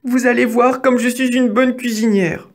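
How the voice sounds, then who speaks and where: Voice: medium pitch, fairly even intonation, moderate pace, pitched above the performer's own voice, lightly acted. A storyteller voicing a timid young female mouse, polite and pleading, who stammers when frightened. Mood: eager, flustered.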